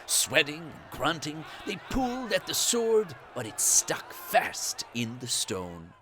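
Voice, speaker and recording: Animated storyteller with lively, swooping pitch. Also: slow, some chatter behind, slight background noise. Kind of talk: scripted children's bedtime story narration.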